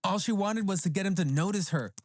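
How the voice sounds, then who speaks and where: A narrator reading a scripted first-person story for an animated tale. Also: medium pitch, fairly quick. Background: background music.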